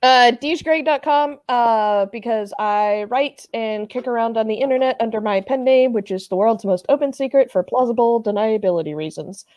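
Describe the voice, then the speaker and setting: A woman's voice: on the high side, normal speed, hardly any pauses, a little mellow, dry recording, clean studio sound. A woman chatting casually as a panelist and writer introducing her work.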